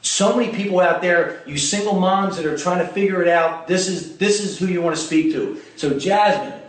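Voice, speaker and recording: A man's voice: high-pitched, moderate pace. Upbeat middle-aged man, echoey room. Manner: energetic, upbeat hype talk. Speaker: host. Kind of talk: motivational interview. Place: indoor event room. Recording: echoey room, distant mic.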